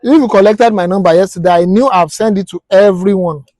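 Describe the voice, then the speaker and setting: A man's voice: high voice, fairly quick, a little mellow, dry recording, clean studio sound. A Nigerian man teaching a hands-on practical class as an instructor, explaining step by step and quizzing listeners with rhetorical questions. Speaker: technical instructor.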